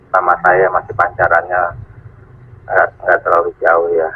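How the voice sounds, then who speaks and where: A man's voice: deep voice, completely flat delivery, moderate pace, pushed and bright, slight background noise. A man talking casually as an amateur radio operator, heard through a two-way radio. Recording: narrow, tinny radio transmission.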